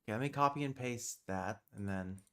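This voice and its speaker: A man's voice: mid-range voice, fairly quick, fairly bright tone. A man hosting a casual livestream, muttering and thinking aloud as he works, and easily exasperated. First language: British Sign Language. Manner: easily exasperated, swears under breath. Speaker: livestream host.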